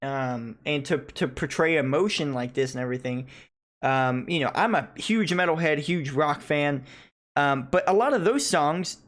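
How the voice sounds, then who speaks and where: A man, a native English speaker, giving casual, analytical reaction commentary on music and thinking aloud in a halting way. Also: on the high side, animated, a bit bright. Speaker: music reaction video host.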